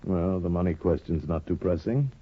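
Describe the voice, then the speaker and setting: A man playing a smooth, sly middle-aged judge in a 1950s radio drama, suave and measured. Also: low-pitched. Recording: dull old radio broadcast.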